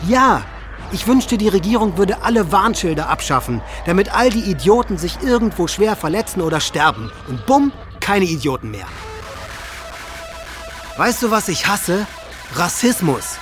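A volatile, hateful teenage boy speaks in a fairly high male voice. He delivers contemptuous monologues in a radio drama, with music clearly playing underneath. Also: sing-song, normal speed, fairly bright tone. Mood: scornful, agitated. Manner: ranting, rhetorical, contemptuous. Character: hateful, volatile teenage male high-school shooter reading his writings.

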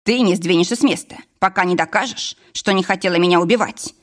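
A woman's voice: lowish voice, quick pace, close to an everyday voice. A woman voicing a paranoid young man in an audiobook, suspicious and hostile, with curt demands. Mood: threatening.

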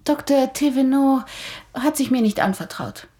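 An actress playing a caring, earnest young woman in a radio play, polite and gently hesitant. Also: high-pitched, moderate pace, natural voice. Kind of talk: German radio play acting.

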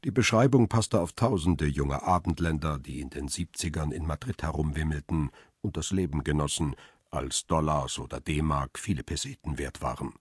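A man narrating a radio crime drama in the first person, with a very deep, husky voice. He tells the story calmly and steadily, recorded close and dry in a studio. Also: normal speed, no room sound. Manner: calm, steady, reflective storytelling. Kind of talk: scripted radio play narration.

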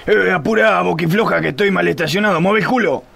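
Man playing a gruff, creaky-voiced crooked Argentine cop. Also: sits high, quick pace, noisy background. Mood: bossy. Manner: gruff, foul-mouthed, dismissive. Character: crude, corrupt, lazy, bigoted male police inspector. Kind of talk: live comic radio play acting.

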